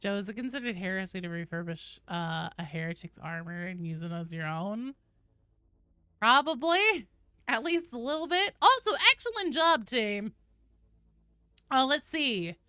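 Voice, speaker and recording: A woman hosting a game livestream, chatting casually and answering viewers in an animated, playful, conversational way. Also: mid-range voice, measured pace, long pauses, smooth, dry recording, clean studio sound.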